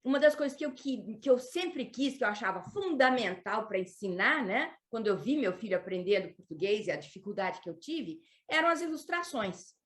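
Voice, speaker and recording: A woman's voice: fairly high pitch, expressive, normal speed, few pauses. A woman author from Brazil, chatting animatedly and asking questions in an online panel talk. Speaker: children's author.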